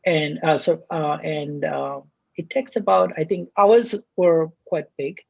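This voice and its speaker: A man from the Maldives, a startup CTO, answering interview questions in a relaxed, hesitant way with many fillers. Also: a little high, creaky, slightly rough, highs cut off.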